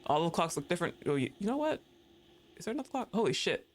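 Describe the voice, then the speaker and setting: A man streams a game live and narrates every moment out loud. His voice sits a little high and leaps around constantly. He swears freely, laughs easily and yells at the game. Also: slight background noise. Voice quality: excitable, profane, quick to laugh, talks to the game. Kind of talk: live gameplay commentary.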